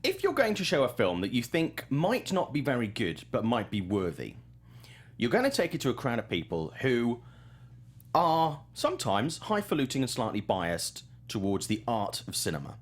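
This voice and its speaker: A man's voice: medium pitch, huge pitch swings, moderate pace. A man hosting a movie news talk show, chatting casually in an informal, wry and blunt way. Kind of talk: casual panel chat.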